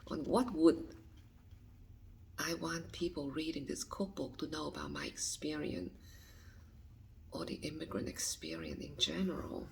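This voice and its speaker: A woman in her fifties from Vietnam, speaking, answering a list of questions softly and hesitantly, often dropping to a whisper. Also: sits low, expressive, unhurried, gravelly, pauses often, slight background noise, low-quality recording. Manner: soft, hesitant, with frequent restarts and whispered phrases. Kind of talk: reading and answering interview questions.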